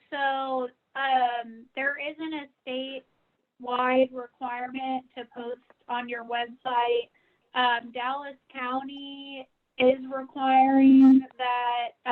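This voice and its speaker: A woman with a fairly high voice speaks over a tinny phone connection. She explains things informally in an extremely flat tone, as a staffer on a work call. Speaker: association staffer answering regulatory questions. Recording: narrow, tinny phone line.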